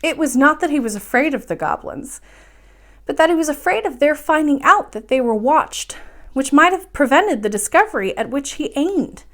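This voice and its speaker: Woman with a highish voice reading a kids bedtime book, expressive, stresses words for drama. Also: normal speed. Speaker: storyteller reading a children's book aloud.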